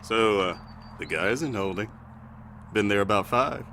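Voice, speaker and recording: A man's voice: lowish voice, normal speed, sharp tone, slight background noise. A man playing a matter-of-fact security guard in an audio drama, procedural and understated. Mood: businesslike.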